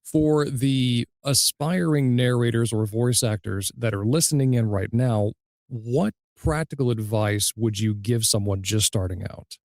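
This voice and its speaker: A man's voice: medium pitch, measured pace, darkish tone, dry recording, studio quality. A man hosting a podcast interview, speaking conversationally in fluent, orderly phrases. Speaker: podcast host, audiobook narrator.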